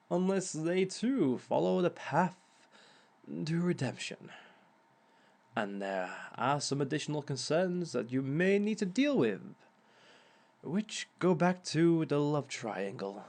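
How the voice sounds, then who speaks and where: Guy doing a smug villain giving love tips, slow and wry, keeps chuckling. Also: a little high, sing-song, a little mellow, exaggerated voice. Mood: wry, amused. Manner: wry, chuckles often at his own advice; mock-sympathetic teasing. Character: smug male villain lecturing on romance. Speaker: villain relationship expert. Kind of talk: audio roleplay monologue.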